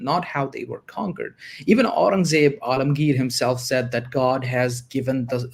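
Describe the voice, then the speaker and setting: A man joining a livestream as a guest, arguing his points quickly and emphatically in casual discussion. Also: medium pitch.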